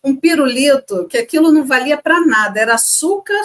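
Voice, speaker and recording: A middle-aged woman from Brazil co-hosting a casual chat, telling childhood stories in an animated way and laughing easily. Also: high voice, a little fast. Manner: lively storyteller, laughs easily. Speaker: co-host.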